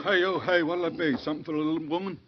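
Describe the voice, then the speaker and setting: A man's voice: on the high side, fairly even intonation, very fast, natural voice. An actor playing a dim, pushy gangster henchman with blunt, slangy, ungrammatical talk in 1940s radio style. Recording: muffled old radio broadcast with music.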